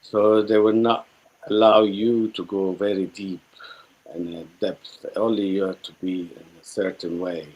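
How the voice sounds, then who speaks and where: A middle-aged man from Syria with a Syrian accent, a native Arabic speaker, telling his own story reflectively as a guest, halting and repeating himself as he searches for words. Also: lowish voice, little pitch movement, darkish tone, slight background noise, rough recording. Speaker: guest, spiritual healer.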